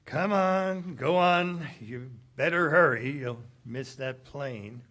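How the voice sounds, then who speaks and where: An actor as a tough, weary cynic in a vintage radio play. He talks slowly and tersely, with a bright, forceful edge to a fairly high male voice. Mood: brusque. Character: tough, world-weary, self-sacrificing American male cynic. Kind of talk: 1940s radio acting.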